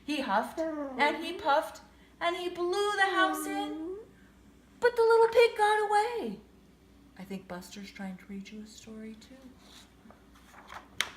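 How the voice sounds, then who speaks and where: In an echoey room, a high-voiced woman reads a children's picture book aloud. She takes her time, with an expressive, sing-song delivery that swoops up and down. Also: slow pace, pauses often. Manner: expressive, sing-song, with questions to young listeners. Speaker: storyteller. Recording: echoey room with faint noise.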